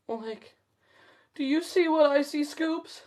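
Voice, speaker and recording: A man voicing a hungry, cowardly, goofy young slacker in a drawling, excitable storybook cartoon voice. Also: very high-pitched, slow, pitched above the performer's own voice. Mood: awed. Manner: drawling, whiny, excitable, peppered with "like". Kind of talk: cartoon voice in live storybook reading.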